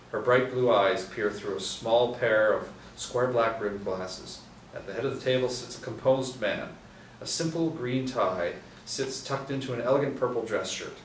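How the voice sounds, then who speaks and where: A man reading screenplay stage directions aloud at a table read, in a plain, even way. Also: on the low side. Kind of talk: script reading. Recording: roomy echo, distant mic, faint hiss.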